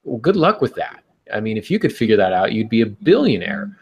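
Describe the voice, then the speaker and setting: Low-voiced man giving long-winded writing advice. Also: sing-song, a little fast, slightly rough. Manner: long-winded, thinking aloud, mimics hypothetical speakers. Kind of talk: conversational advice on fiction writing.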